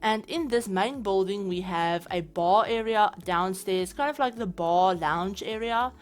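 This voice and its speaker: A woman's voice: medium pitch, unhurried, faint music behind. A woman with a South African accent, chatting casually over her gameplay as a channel host, rambling with lots of fillers. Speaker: game building channel host.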